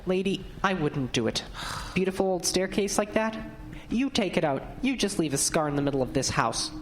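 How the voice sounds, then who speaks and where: A woman's voice: lowish voice, very animated, normal speed, slight background noise, poor audio. A woman playing a stubborn construction foreman in an audio drama, talking in a blunt, folksy, colloquial way. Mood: incredulous. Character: plain-spoken, stubborn construction foreman named Jeff.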